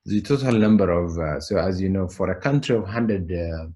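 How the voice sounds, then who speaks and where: Male interviewee, deep register, Ethiopian accent. Unscripted, explanatory delivery with a halting flow. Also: warm tone. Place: remote call.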